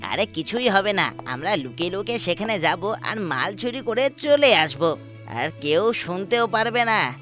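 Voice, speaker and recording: A reckless young cartoon thief played by a man with a high, piercingly bright voice. He schemes with pushy confidence and greed. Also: big pitch swings, measured pace, soft background music, exaggerated voice. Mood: scheming. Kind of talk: cartoon voice acting.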